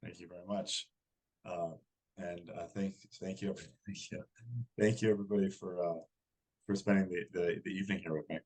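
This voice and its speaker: A man in his forties from New York, slightly croaky from a cold, giving a talk over a video call with frequent restarts. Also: low-pitched, level tone, normal speed, a little mellow, rough recording. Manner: hesitant, many restarts. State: hoarse from a cold. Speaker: guest speaker. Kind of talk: casual presentation.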